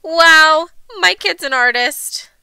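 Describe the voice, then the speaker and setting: A woman voicing an excitable, easily alarmed, doting mother in a children's story, exclamatory and emphatic. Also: extremely high pitch, brisk, dry recording, voice pushed up, heavily acted. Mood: amazed. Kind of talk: acted children's storybook dialogue.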